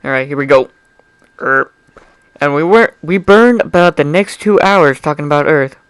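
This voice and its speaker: Guy reading a fanfic out loud, kinda high smooth voice, casual, trips on words n redoes them. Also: moderate pace, no echo, poor audio. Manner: informal, stumbles over words and corrects himself. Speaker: amateur fan fiction reader. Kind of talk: dramatic story reading with casual asides.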